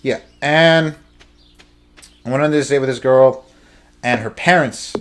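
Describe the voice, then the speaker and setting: A man's voice: medium pitch, unhurried, fairly bright tone, a little hiss or hum. A young adult man telling a casual story while playing a video game, rambling and jokey, often sidetracking himself. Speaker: gaming video host. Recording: music behind the voice. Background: video game music.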